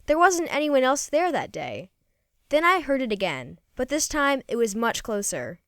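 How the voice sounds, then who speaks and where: A woman reading a children's story aloud in an expressive, folksy first-person storytelling manner. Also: high voice, moderate pace, no room sound, clean studio sound. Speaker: storybook reader.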